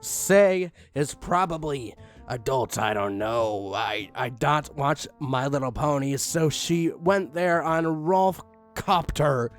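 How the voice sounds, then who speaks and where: A man's voice: fairly high pitch, takes it slowly, soft background music. A man giving a comedic dramatic reading of fan fiction, theatrical, with exasperated asides. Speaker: fan fiction reader, channel host.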